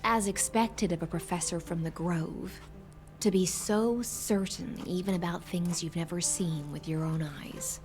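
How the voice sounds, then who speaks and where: Woman acting a low-voiced, dignified, courteous lady. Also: fairly even intonation, measured pace, darkish tone, soft background music, natural voice. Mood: composed, politely teasing. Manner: formal, polite. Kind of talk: video game voice acting.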